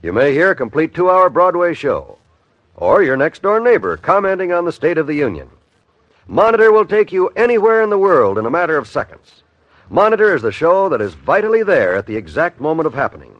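A man's voice: on the high side, sing-song, a little fast, dry recording. A man with an American accent doing scripted 1950s radio announcing. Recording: dull, dry old radio recording. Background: faint music.

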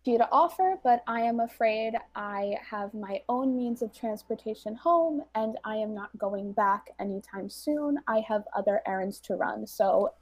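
A woman's voice: fairly high pitch, animated, measured pace, hardly any pauses, darkish tone, dry recording, poor audio. A woman speaking in character in a tabletop game, polite but firm and evasive. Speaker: player.

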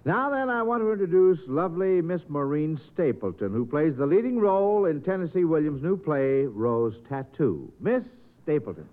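A male quiz host in the 1950s radio manner. His voice is fairly high for a man, and the vintage recording is narrow and tinny. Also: very animated, normal speed, few pauses.

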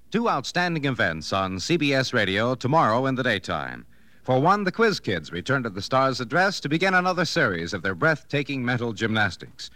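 A man announcing on 1950s network radio in a polished, formal promotional style. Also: mid-range voice.

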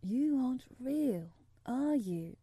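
A sickly young boy from a storybook, played by a woman, plaintive and imperious at once. The voice is soft-edged and mellow with an anxious, demanding manner, recorded dry and close. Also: high-pitched, expressive, slow pace, breathy edge, dry recording, exaggerated voice. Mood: wary.

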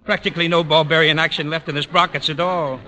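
A man's voice: on the high side, fairly even intonation, quick pace, bright, forceful tone. An American actor playing a busy, matter-of-fact car repairman in an old radio comedy, with distracted, breezy patter. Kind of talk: 1940s-50s radio comedy acting. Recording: noisy old radio broadcast recording.

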